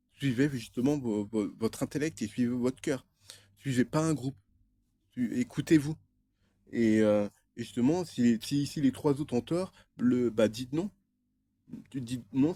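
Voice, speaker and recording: A man's voice: medium pitch, mellow, slightly rough. A man in his thirties from France, talking in a rambling, hesitant personal monologue full of fillers and restarts. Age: 30s. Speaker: vlogger.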